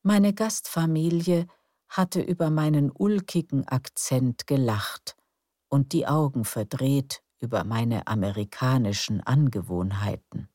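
A woman narrating a calm bedtime story in a slow, soft, soothing way. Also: rumbling low, no echo, studio quality.

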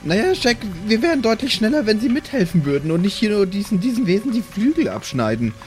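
A man's voice: high-pitched, big pitch swings, fast talker, darkish tone. A man voicing a prim, stern lady with a polite, admonishing, formal manner in an improvised audio play.

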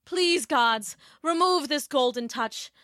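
A woman's voice: sits high, lively intonation, slow pace, a bit bright, no room sound, clean studio sound, voice pushed up, full character voice. A woman playing a proud, greedy king and father in a story, declaiming in a pompous, theatrical way. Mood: anguished, remorseful.

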